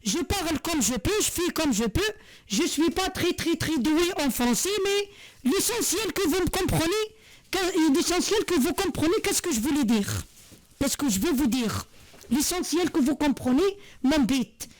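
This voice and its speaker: Woman, high voice, sincere vlog monologue, halting and repetitive. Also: animated. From Algeria. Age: 40s. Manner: earnest, repetitive, many hesitations and restarts. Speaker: vlogger. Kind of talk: heartfelt monologue to camera.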